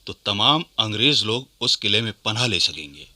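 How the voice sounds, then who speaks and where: Loyal rebel aide, sharp dry voice. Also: male voice, fairly low pitch, big pitch swings, moderate pace, no echo, heavily acted. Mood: earnest, resolute. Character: Azimullah Khan, loyal rebel aide reporting intelligence. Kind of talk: Hindi historical radio play acting.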